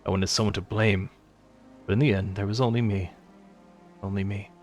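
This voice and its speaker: A man's voice: on the low side, steady tone, normal speed, a little mellow, faint music behind, natural voice. A man playing a brooding, self-doubting young nobleman in a fantasy game, earnest and formal in delivery. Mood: anguished, resolute.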